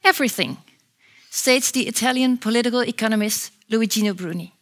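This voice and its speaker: A woman's voice: on the high side, a little fast. A woman program manager introducing a lecture to an audience, reading a prepared introduction with small fillers. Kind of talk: event introduction.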